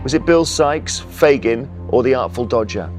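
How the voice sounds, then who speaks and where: A man presents a quiz show from a television studio, mid-pitched and upbeat. He reads out questions with clear scripted pacing and teases the players playfully between them. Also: expressive, normal speed, darkish tone, soft background music.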